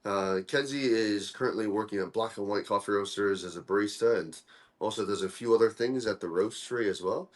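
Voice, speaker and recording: A young man from Korea, a guest in a casual interview, talking in a relaxed, friendly way with lots of "uh" fillers. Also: lowish voice, brisk. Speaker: guest, coffee dripper co-founder.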